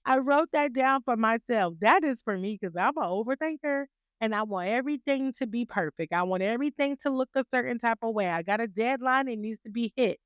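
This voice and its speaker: A woman's voice: a little high, clean tone, no echo, clean studio sound. A woman hosting a podcast, giving a motivational talk full of rhetorical questions and repeated phrases, with a voice she calls graceful yet stern. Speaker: podcast host.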